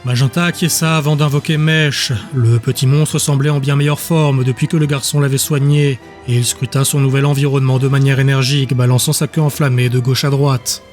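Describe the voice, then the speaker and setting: Mid-pitched male audiobook narrator over music. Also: flat delivery, darkish tone. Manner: measured, literary. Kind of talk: scripted dramatic audiobook narration.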